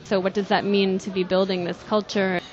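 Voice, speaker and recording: A woman interviewer who asks measured, courteous questions. Her voice comes through dull, lo-fi audio. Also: medium pitch, flat delivery. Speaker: podcast interviewer.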